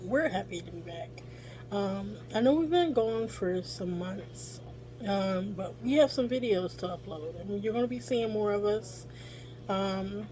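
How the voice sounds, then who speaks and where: Slow, scratchy-voiced woman vlogging. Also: medium pitch, warm tone. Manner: chatty, frequent ums and throat clearing. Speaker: family vlogger. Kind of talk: casual cooking vlog. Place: home kitchen with dryer running. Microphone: phone camera, later on a mount.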